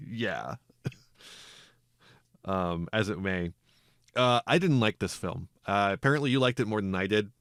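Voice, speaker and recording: A man's voice: fairly low pitch, lively intonation, slow, pauses often, fairly bright tone, dry recording, clean studio sound. A man hosting a casual film podcast, getting over a cold, wry and chuckling between his remarks. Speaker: podcast host.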